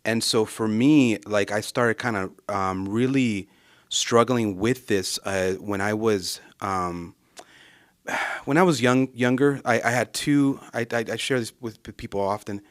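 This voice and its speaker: A man from Southern California, a guest in a casual conversation, telling a personal story in a reflective, unhurried way and often searching for his words. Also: lowish voice, no room sound, clean studio sound. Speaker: guest, ministry association director.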